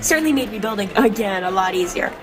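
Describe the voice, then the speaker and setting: A woman voicing a chatty, eager, fast-talking robot bar owner in an amateur comic dub. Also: medium pitch, expressive, crowd noise, natural voice. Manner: gabby, eager. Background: clear music bed.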